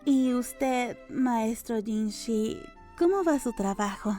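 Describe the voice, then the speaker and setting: A woman plays a young apothecary girl with a fairly high voice. She is deferential yet blunt and matter-of-fact. Light music sits behind the audiobook dialogue. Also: expressive, slow pace, dark tone. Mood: politely blunt.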